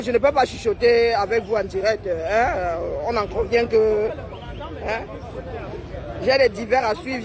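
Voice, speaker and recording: A man chatting casually to his viewers while live-streaming from an outdoor square at a rally. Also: very high voice. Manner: chatty, addresses viewers as family, laughs often. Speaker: livestreamer. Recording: busy street crowd noise. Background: crowd and street traffic.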